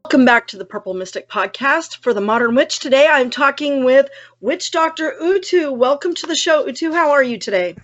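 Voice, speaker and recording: A woman with a fairly high voice hosts a podcast interview. She speaks conversationally and informally as she sets up questions for a guest. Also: lively intonation, fairly quick, few pauses, a bit bright, lo-fi sound, faint music behind. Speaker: podcast host. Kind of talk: casual conversational interview hosting. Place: Dallas area, United States.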